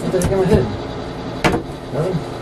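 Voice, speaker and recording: Male DIY vlogger, folksy and self-deprecating, slightly high voice, casual working chatter, recorded at a distance over clear noise. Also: brisk. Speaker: host of a DIY outdoors build vlog. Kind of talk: casual talking to camera while working.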